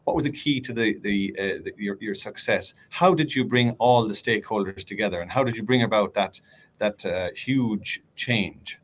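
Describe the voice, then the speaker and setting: A man's voice: fairly low pitch, moderate pace, breathy edge. A man moderating a panel, introducing guests and asking questions, restarting at times. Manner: inviting, restarts when asking.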